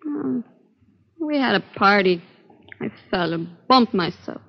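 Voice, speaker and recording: A woman's voice: mid-range voice, lively intonation, measured pace, creaky. Defensive tough woman, dull old recording. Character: defensive, tough young woman questioned by police. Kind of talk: 1950s radio drama acting. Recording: dull vintage radio recording.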